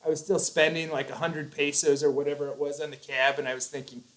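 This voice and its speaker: Man, earnest, rambling, casual live chat, slightly high voice. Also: level tone, fast, poor audio. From United States. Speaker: YouTube host.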